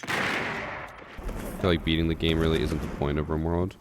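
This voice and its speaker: A man streaming a shooter game, chatting calmly with his viewers and commenting on his play in an unexcited way. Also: a bass voice, unhurried, very noisy. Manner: calm, unexcited. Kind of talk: casual gameplay commentary with chat. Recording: game gunfire audible behind voice.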